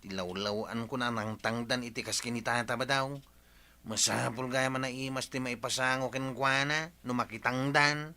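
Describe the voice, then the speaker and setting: A man's voice: medium pitch, steady tone, normal speed, pushed and bright. A man playing an easygoing villager in an Ilocano comedy radio drama, speaking with an Ilocano accent. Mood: planning.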